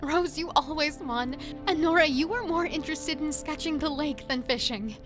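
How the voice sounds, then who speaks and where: A woman's voice: high voice, moderate pace, music in the background, natural voice. A woman playing a confused, insistent, frightened sister who pleads urgently in an audio horror drama. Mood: earnest, pleading.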